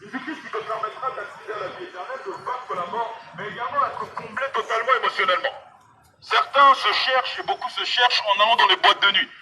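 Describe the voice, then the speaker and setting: A man delivers a fervent outdoor sermon in a high-pitched voice. He speaks through a megaphone, repeating key phrases emphatically as he addresses people passing by. The street around him is clearly noisy, with vehicles behind his voice. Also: quick pace, bright, forceful tone. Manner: exhortatory, emphatic, repetitive, addressing passers-by directly. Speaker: street evangelist.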